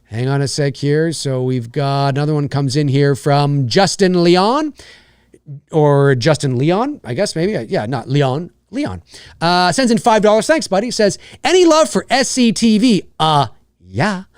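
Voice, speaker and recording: Excitable Canadian man in his forties. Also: a little high, a little slow, clear, resonant tone, no echo, studio quality. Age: 40s. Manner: excitable, rambling, reads donor messages aloud. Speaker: host of a live Q&A stream. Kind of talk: casual live-stream chat.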